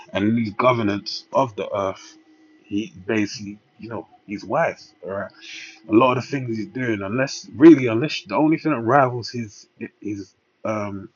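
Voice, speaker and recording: A man's voice: lowish voice, no room sound, muffled recording. A man preaching in an informal Bible-teaching style, emphatic and peppering his points with little checking tags like "all right".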